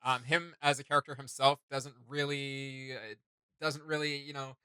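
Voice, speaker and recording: Bright-voiced man, casual reaction host. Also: medium pitch, fairly even intonation, normal speed, smooth, no room sound. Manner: hesitant, searching for words, informal slang. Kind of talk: casual reaction commentary on game artwork.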